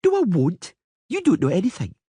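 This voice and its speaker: A male narrator voicing a headstrong, sniffly young princess who is petulant, demanding and quick to sulk, with a husky, whiny tone. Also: sits high, huge pitch swings, dark tone, no room sound, pitched above the performer's own voice, heavily acted. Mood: sulky. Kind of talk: audiobook character voice.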